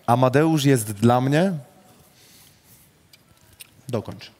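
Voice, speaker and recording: A man hosting a rapid question round, reading statements aloud with a Polish accent and casually swearing. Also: medium pitch, a little mellow.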